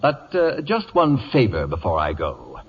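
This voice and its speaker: An actor playing an imperious, scheming middle-aged wit with a theatrical, mock-courtly sarcasm in 1940s radio comedy style. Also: male voice, low-pitched, wildly swooping pitch, unhurried, full character voice. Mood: smug menace. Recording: muffled old radio broadcast.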